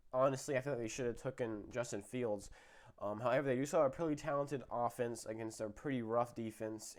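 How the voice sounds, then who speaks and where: A young man, a little sick, giving casual football predictions with lots of fillers and self-corrections. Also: mid-range voice. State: slightly sick, voice a bit off. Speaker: sports video host.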